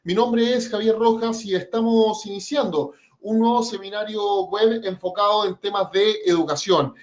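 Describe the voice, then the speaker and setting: A man presenting an educational trading webinar, explaining things step by step in a measured way, with frequent pauses and "eh" fillers. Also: sits high, fairly even intonation, muffled recording.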